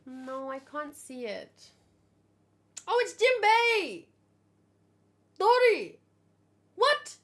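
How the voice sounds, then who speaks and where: High-voiced woman in casual streamer chat. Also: extremely slow, pauses often. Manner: animated, exclamatory, rambling asides. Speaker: streamer hosting a manga read-along. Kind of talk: casual live chat, thinking aloud.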